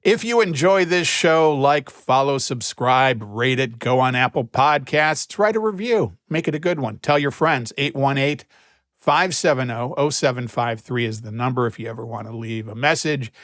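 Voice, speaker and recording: A male podcast host chatting casually and teasing his co-host. His voice is even in pitch. The sound is dry and close to the microphone. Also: moderate pace, dry recording. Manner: joking, teasing. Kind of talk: casual chat.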